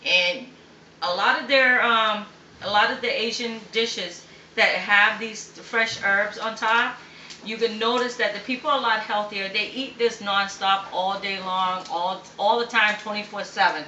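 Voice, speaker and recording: A woman's voice: medium pitch, moderate pace, bright, forceful tone, muffled recording. Chatty woman cooking in an echoey kitchen. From Vietnam. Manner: chatty, explains step by step, frequent okay checks. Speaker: cooking show host. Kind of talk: home cooking demonstration. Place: home kitchen. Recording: echoey kitchen with faint background noise.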